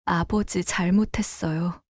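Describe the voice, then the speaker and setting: A woman's voice: on the low side, slow, darkish tone, no echo, studio quality. A woman voicing a meek, anxious grown daughter apologizing hesitantly in a dramatized story. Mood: contrite, meek.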